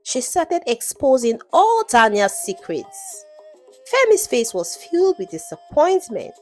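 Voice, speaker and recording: A woman's voice: high-pitched, huge pitch swings, unhurried, a little mellow, soft background music. A woman narrating a scripted folktale in a dramatic storytelling style.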